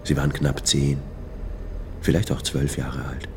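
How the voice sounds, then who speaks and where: An actor playing a weary male writer with a gravelly voice, narrating his misadventures in a literary radio drama. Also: rumbling low, flat delivery, unhurried, background noise. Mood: somber. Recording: music behind the voice.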